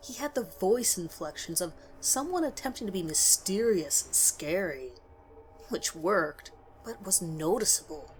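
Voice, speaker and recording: A woman's voice: on the low side, expressive, a little slow, a little hiss or hum, low-quality recording. A woman reading a scripted horror story as its narrator.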